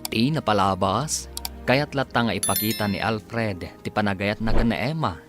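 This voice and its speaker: A man announcing and narrating a radio drama serial in a scripted, theatrical style with drawn-out emphasis. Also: fairly low pitch. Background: music.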